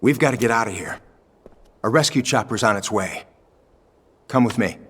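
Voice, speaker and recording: An actor playing a cocky, protective hired soldier in a video game cutscene, with a slightly husky voice. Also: male voice, on the low side, normal speed, a bit bright. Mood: urgent.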